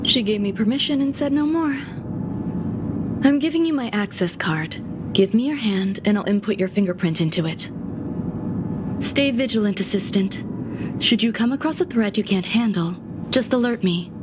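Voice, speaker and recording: A woman voicing a composed, aloof scientist in a video game, speaking in a measured, courteous, understated way. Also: mid-range voice, expressive, noisy background, close to an everyday voice. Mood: calm, instructive. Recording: music behind the voice.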